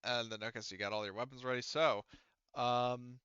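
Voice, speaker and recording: Bright, clear-voiced man running a game. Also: medium pitch, fairly even intonation, brisk, no room sound, dull audio. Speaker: game master. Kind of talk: casual game narration.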